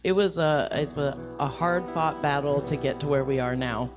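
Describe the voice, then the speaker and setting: A woman's voice: low voice, normal speed, muffled recording, music in the background. A woman musician talking casually as a guest on a show and introducing songs.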